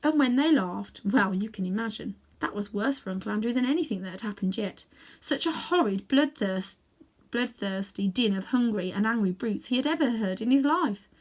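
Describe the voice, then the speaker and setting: A woman narrating a children's storybook aloud in an expressive reading style. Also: a little high, normal speed. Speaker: storybook reader.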